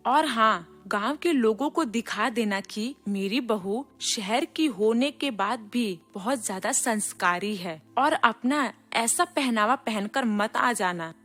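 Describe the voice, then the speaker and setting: Woman as a proud, high-voiced village mother-in-law. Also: animated, slow, few pauses, a bit bright, faint music behind, full character voice. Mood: scolding. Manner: scolding, emphatic. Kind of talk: storybook cartoon dialogue.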